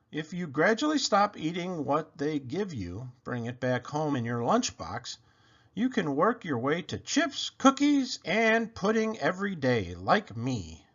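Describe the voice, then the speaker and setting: A man reads a picture book aloud for children with playful emphasis. His voice sits a little high and swings widely up and down. The recording is slightly dull and lo-fi. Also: a bit bright. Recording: dull, lo-fi sound.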